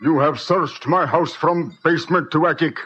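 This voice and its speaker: A man's voice: a little high, moderate pace, a bit bright, slightly rough, soft background music. An actor playing a cool, stubborn male villain with formal, insistent delivery in a vintage radio drama. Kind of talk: 1940s radio acting. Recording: muffled old radio drama recording.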